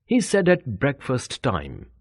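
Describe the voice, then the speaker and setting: A man reading a scripted children's bedtime story as an expressive, deliberate storyteller. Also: medium pitch, dry recording.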